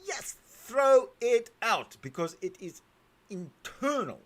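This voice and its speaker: A man hosting a talk show, commenting on a clip and jumping in with emphatic, sometimes halting remarks. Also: high voice. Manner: animated, emphatic, swings loud and soft. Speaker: show host. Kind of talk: commenting over a played clip.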